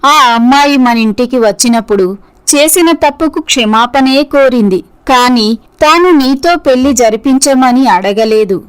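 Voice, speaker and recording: A woman plays a kind-hearted mother of middle age in a moral-story drama. Her pitch is very high, and she counsels with compassion and gentle persuasion. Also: a little slow, clean tone, rough recording. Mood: pleading. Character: compassionate middle-aged mother advising the young. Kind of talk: scripted moral-story voice acting.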